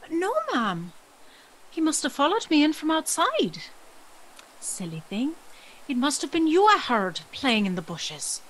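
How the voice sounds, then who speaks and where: A woman's voice: high voice, very animated, measured pace, fairly bright tone, exaggerated voice, rough recording. An actress playing a timid, polite young servant girl in an audio drama, earnest and anxious, with old-fashioned phrasing. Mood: meek, polite. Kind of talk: audio drama acting and storytelling.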